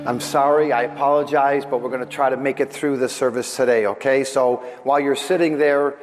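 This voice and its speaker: A man preaching a sermon as a pastor, speaking deliberately and earnestly, his voice slightly off after a throat infection. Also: medium pitch, steady tone, over music. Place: large church hall during a service. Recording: long hall reverb.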